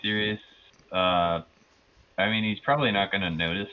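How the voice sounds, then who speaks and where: A man's voice: fairly low pitch, steady tone, moderate pace. A man casually and hesitantly describing his character's reactions as a player in a tabletop roleplay game. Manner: hesitant, peppers speech with "like". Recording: muffled mic with clear background noise.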